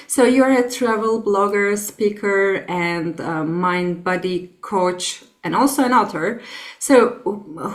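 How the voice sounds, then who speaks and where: Hesitant woman interviewer. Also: mid-range voice. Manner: slow, hesitant phrasing with fillers. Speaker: host. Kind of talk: interview host.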